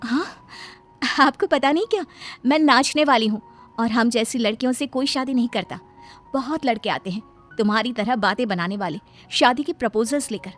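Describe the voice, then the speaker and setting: A woman's voice: fairly high pitch, normal speed, pitched above the performer's own voice, natural voice. A woman voicing a proud, wary young village dancer in a storybook tale, sceptical and challenging. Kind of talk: storybook character dialogue. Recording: background music under the voice.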